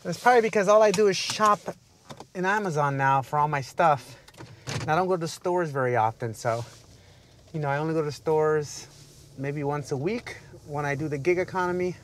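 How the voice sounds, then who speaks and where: A man in his forties chatting to the camera from inside a parked car, relaxed and easygoing. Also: on the high side, normal speed, a little hiss or hum. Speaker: delivery driver vlogger. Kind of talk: casual vlog narration. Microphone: clip-on lapel mic.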